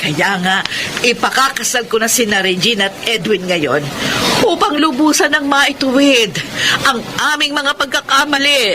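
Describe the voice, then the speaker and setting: A woman's voice: sits high, normal speed, background noise, lightly acted. Woman playing an earnest, remorseful middle-aged mother. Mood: joyful. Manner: earnest, emotional delivery. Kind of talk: Filipino radio drama acting.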